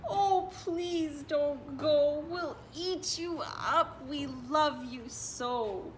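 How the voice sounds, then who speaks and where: A woman voicing clingy wild monsters from a storybook, pleading in long, drawn-out wails. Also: high-pitched, pitched above the performer's own voice. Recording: distant mic with clear background noise.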